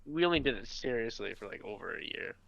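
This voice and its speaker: Young male co-host, clear mid-pitched voice on a slightly dull mic, blunt slangy chat. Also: expressive, fast talker, fairly bright tone, no room sound. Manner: loose, blunt, slangy, frequent "like". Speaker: podcast co-host. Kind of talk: casual chat.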